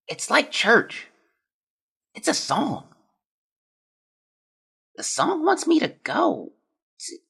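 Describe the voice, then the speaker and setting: An actor performs a sick young child in an audio drama, speaking a diary aloud. The voice is husky and bright, swinging widely in pitch. The delivery is plaintive, hesitant and frightened. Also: heavily acted. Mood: fearful, awed.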